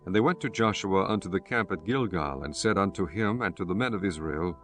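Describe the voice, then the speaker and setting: A man narrating a scripture audiobook in a solemn, measured and formal way. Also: sits low, lively intonation, fairly bright tone, soft background music.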